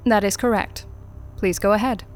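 A woman acts out a corporate interrogator for an audio drama. She is formal, brisk and probing, with a dry sardonic edge to her polite questions. Her voice sits at a middling pitch for a woman. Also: steady tone, close to an everyday voice.

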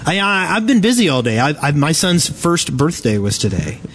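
A man's voice: a little high, sing-song, normal speed, a bit bright. Guy hosting a podcast live at a con, stumbly and jokey, lots of background noise. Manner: hesitant, restarts often, playful. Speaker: podcast host. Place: convention floor.

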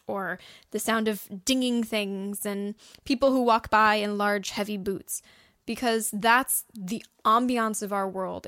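A woman's voice: mid-range voice, fairly bright tone, no echo. Chatty woman podcast host explaining stuff casually w examples, really dry close sound. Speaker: podcast host and audio drama writer.

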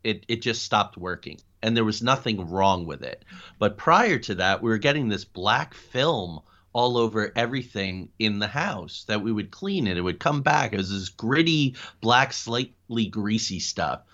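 A man's voice: lowish voice, expressive, moderate pace, a bit bright. A man speaking as an interview guest, telling personal stories in a conversational way. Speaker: guest, paranormal investigator. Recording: dull lo-fi call audio.

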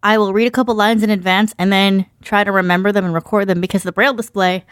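A woman's voice: mid-range voice, fairly even intonation, fast talker, clean tone, dry recording. Woman chatting in a dry, close recording. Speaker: podcast co-host and staff member being interviewed. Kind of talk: conversational interview answers.

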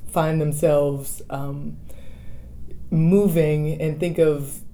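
An American woman talking casually as a guest in an interview, expressive as she tells things and voices other people's words. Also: sits low, drawn-out words. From United States (Texas). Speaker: interviewed guest, dancer and choreographer.

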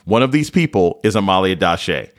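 A man hosting a radio interview, reading a scripted introduction and asking measured, calm questions. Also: sits low. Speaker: radio host.

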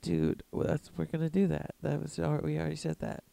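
A man's voice: a little high, a little fast, gravelly, warm tone, no room sound. A man co-hosting a comedy podcast, riffing in casual, slangy banter. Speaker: podcast co-host.

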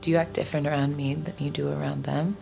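A woman's voice: low-pitched, flat delivery, fast, creaky, over music. An actress playing a caring, anxious young girlfriend in a naturalistic, intimate audio drama, tender and tentative.

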